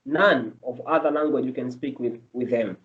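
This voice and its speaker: A man's voice: medium pitch, moderate pace, a bit bright. A man answering interview questions in English, his second language, earnest and repeating himself as he explains. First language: not English. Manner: earnest, repeats and restarts phrases. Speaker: medical student guest. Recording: dull lo-fi audio.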